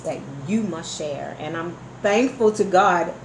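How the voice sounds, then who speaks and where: A woman's voice: fairly low pitch, measured pace. A woman hosting a live radio interview chat in an effusive, encouraging way. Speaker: radio show host. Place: indoor room at a live online broadcast. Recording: roomy live sound, faint noise.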